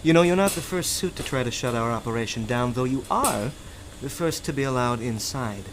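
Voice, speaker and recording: A man acting in an audio drama as a calm, benevolent outlaw leader and protector, measured and wryly reassuring. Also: mid-range voice, background noise, natural voice. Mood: wry, in control.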